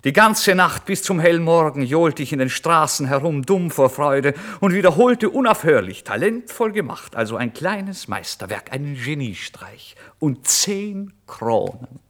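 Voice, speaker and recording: A man's voice: fairly high pitch, big pitch swings, unhurried, hardly any pauses. An actor playing a starving, impulsive male writer in a theatrical, changeable first-person literary monologue for radio. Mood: giddy, exultant. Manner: theatrical, swinging from exclamations to hushed whispers. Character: starving, impulsive male writer recounting his misery and brazen lies.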